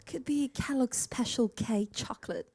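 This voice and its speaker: A woman's voice: on the high side, normal speed, creaky, darkish tone. A woman from Italy, a native Italian speaker and soprano singer, introducing herself casually. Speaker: soprano singer in a vocal group.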